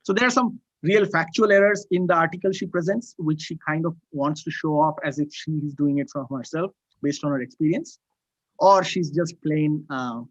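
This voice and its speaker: A man's voice: fairly high pitch, moderate pace, no echo, lo-fi sound. A man speaking as a podcast guest, giving a critical, argumentative commentary in long run-on sentences.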